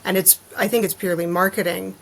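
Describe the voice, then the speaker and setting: A woman's voice: mid-range voice, fairly even intonation, fast, gravelly, fairly bright tone. A woman guesting on a podcast as an artist, explaining casually and acting out imagined reactions as little quotes.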